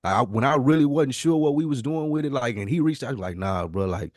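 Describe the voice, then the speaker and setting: A man's voice: mid-range voice, lively intonation, a little fast, dry recording, studio quality. A man talking casually and candidly as a podcast co-host, with slangy, profane phrasing.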